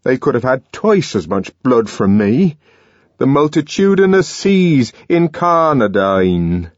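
A man's voice: mid-range voice, sing-song, slow pace, breathy edge, no room sound, muffled recording, voice pushed up, exaggerated voice. A man voicing an earnest, emotional young man who speaks in an intense, trembling way. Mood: impassioned.

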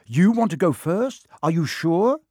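A man voicing a blunt, sarcastic, excitable schoolboy with a grumbling, snappy manner in an audiobook. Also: a little high, moderate pace, slightly rough, no echo, clean studio sound. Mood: uneasy. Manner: snarling, bellowing, grumbling. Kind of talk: audiobook character acting.

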